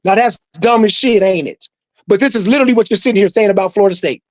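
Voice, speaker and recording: Co-host, man from New Mexico, high-ish voice, forceful and profane in debate. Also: fast, no echo. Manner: emphatic, profane, repeating himself. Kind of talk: heated sports debate.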